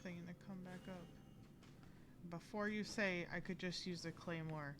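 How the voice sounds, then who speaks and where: Low-voiced woman chatting while gaming. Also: fairly even intonation, measured pace, noisy background. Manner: rambling, playfully talks to the game. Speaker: game streamer. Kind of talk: casual live gameplay commentary. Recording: game music behind the voice. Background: loud video game music.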